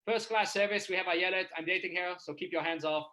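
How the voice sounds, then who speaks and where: A man's voice: sits high, fairly quick, sharp tone, poor audio. A man voicing a brash, boastful airline pilot making blunt cabin announcements.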